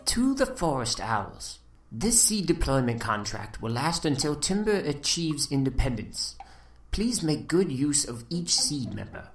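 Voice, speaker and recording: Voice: medium pitch, big pitch swings, unhurried. An amateur actor voicing a formal, courteous headmaster reading an official letter in a game dub. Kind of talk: fan-dub video game voice acting. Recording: echoey voice over soft music.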